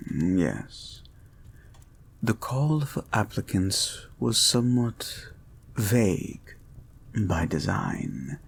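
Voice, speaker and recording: A man playing a creepy, soothing male therapist in an intimate audio roleplay, breathy and soft-spoken, with formal, deliberate phrasing that often drops toward a whisper. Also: fairly low pitch, a little hiss or hum, heavily acted. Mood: calm, clinical. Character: creepy, soothing male experimental therapist, calmly controlling and intent. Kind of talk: slow intimate ASMR-like audio roleplay, guided hypnosis.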